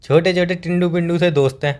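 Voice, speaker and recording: A man's voice: on the high side, fairly even intonation, quick pace, darkish tone. A young man playing a boyfriend on a staged couple phone call, joking and teasing.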